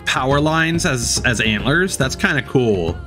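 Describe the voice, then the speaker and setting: A man's voice: medium pitch, animated, a little slow, bright, forceful tone. A man streamer giving casual, blunt live reactions. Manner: blunt, sweary. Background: trailer music.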